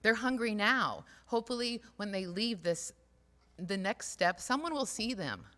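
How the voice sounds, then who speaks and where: A woman speaking earnestly and haltingly to a church congregation, in an informal, heartfelt reflection, repeating phrases for emphasis. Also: medium pitch, lively intonation, sharp tone. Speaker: speaker at a church service, community outreach worker. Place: large church space during a livestreamed service. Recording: reverberant hall sound.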